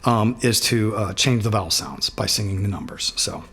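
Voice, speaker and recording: A middle-aged man with a raspy voice and sinus trouble, teaching a singing warm-up in a relaxed, self-deprecating way. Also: fairly low pitch, normal speed. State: sinus trouble, throat not feeling great. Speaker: guitarist giving a singing lesson. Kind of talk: casual instructional talk.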